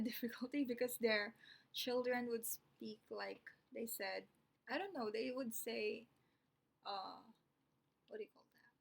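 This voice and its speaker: A woman's voice: a little high, creaky, slightly rough, rough recording. A young woman from the Philippines with a Filipino English accent, explaining things in a chatty, rambling vlog full of ums and asides. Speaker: vlogger.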